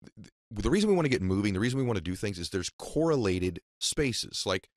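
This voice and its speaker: Brief: very deep, quick-talking host, emphatic, dry booth sound. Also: male voice, sing-song, a little mellow, no echo. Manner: emphatic, hand-gesturing, motivational. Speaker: podcast host. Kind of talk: reflective interview talk. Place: radio studio. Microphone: studio boom mic, close.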